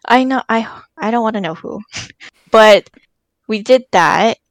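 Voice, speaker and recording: A woman's voice: fairly high pitch, slow pace, no echo. A woman in her early twenties in casual chat, giggly and telling stories with quoted asides.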